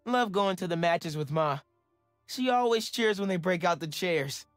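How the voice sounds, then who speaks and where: An upbeat American teenage boy superhero in a video game. His voice is fairly high and youthful, earnest and casual, in a dry recording with faint music underneath. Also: expressive, moderate pace, no echo. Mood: candid, cheerful. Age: young adult. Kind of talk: game voice acting, casual reflection.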